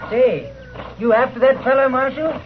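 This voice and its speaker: A man's voice: very high voice, moderate pace. An actor playing a chatty, easygoing small-town stable man in a 1950s radio western, with a rustic, folksy manner. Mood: folksy, curious. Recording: tinny, noisy vintage radio broadcast.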